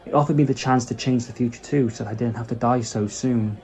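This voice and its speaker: A man's voice: medium pitch, fairly even intonation, fast talker, darkish tone, natural voice. A man playing a curious, earnest human companion to a time traveller in a fan audio drama, with a questioning manner. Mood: worried.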